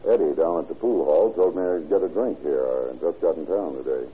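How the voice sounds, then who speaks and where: An actor in an old radio crime drama playing a steady, shrewd police chief who goes about as a hobo. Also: male voice, very deep voice, brisk. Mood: casual, feigned friendliness. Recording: dull, hissy old radio recording.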